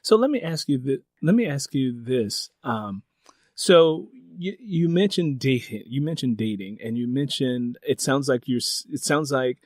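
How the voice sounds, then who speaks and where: A middle-aged man with an American accent interviewing someone conversationally, deliberately rephrasing his questions as he goes. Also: medium pitch, animated, darkish tone, no echo, clean studio sound. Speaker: interviewer and host.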